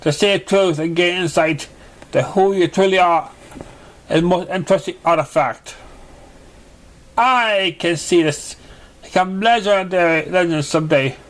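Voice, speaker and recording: A man's voice: high-pitched, unhurried, pauses often, voice pushed up. A man voicing a grand, congratulatory magical contest master in a storybook reading, proclaiming with theatrical praise. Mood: delighted, impressed. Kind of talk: storybook character voice in fan fiction reading.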